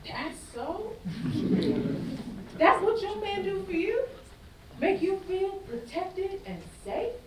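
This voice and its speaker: A woman's voice: very high voice, very animated, takes it slowly, pauses often, exaggerated voice. A woman acting a bold, teasing, tough gun-toting woman in a staged play reading. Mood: teasing defiance. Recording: roomy, distant stage recording with faint noise.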